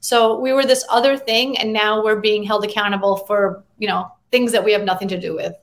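A woman's voice: medium pitch, fairly even intonation, brisk. A woman from Russia, a columnist, answering interview questions in an earnest, conversational way. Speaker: guest columnist.

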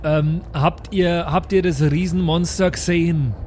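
A man's voice: a little high, steady tone, measured pace, darkish tone, a little hiss or hum. A man playing an improvised tabletop role-playing game and chatting casually with the group. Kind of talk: casual improvised play and banter.

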